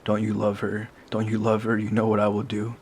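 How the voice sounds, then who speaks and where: A man's voice: on the low side, monotone, a little fast, darkish tone, a little hiss or hum, natural voice. A man voicing a taunting killer, falsely soothing. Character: menacing male killer, coaxing and cruel.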